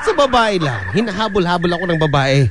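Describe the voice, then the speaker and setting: A man's voice: sits high, expressive, quick pace, a loud crowd. A man with a Filipino accent playing a boastful, tipsy, flirtatious womanizer in a comedic radio drama, swaggering and playful. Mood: boastful, flirty. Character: boastful, tipsy, flirtatious male womanizer among drinking buddies. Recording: very noisy radio drama recording.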